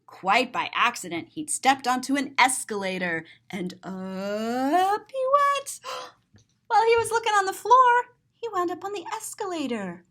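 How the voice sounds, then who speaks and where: A woman reading a children's story aloud and describing the pictures, expressive and explanatory. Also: sits high, a little slow.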